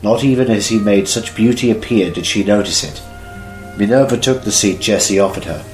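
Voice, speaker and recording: Low-voiced man narrating in an echoey room. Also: darkish tone. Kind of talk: scripted fan-fiction narration. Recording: echoey room with faint music.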